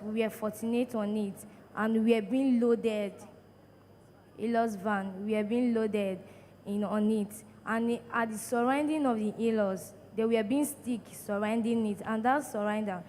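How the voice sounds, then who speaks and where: A young Nigerian woman with a Nigerian accent giving a plain, steady personal testimony in a large church hall. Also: medium pitch. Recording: echoey hall with faint room noise.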